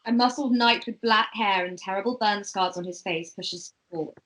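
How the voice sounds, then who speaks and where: A woman narrates a script at an amateur table read. Her voice sits at an average pitch as she reads out the stage directions. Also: normal speed, a bit bright, poor audio.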